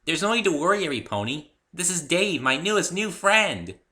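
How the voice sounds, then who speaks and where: Man doing a hyperactive girl pony, high pitched, forceful and bright, bubbly, exclaiming, cartoon storybook character. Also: very animated, fairly quick. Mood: cheerful. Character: hyperactive, giddy young female cartoon pony.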